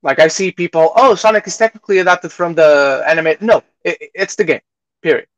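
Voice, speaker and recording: Male podcast co-host, high-pitched voice, dry close sound, emphatic and opinionated in casual film chat. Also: brisk, no room sound, highs cut off. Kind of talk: casual movie discussion.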